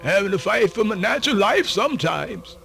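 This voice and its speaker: A man's voice: sits high, big pitch swings, fairly quick, creaky, bright, forceful tone, heavily acted. A man playing an aged, weary yet proud storyteller elder, with a folksy, solemn, oratorical delivery in a radio theatre monologue. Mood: weary, reflective. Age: older. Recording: music playing under the voice.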